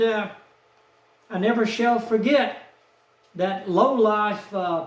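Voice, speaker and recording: A man, telling a rambling personal story in a slow, aggrieved, folksy way. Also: sits high, far from the mic. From Texas, USA. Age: 70s. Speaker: vlogger. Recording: echoey room, off-mic.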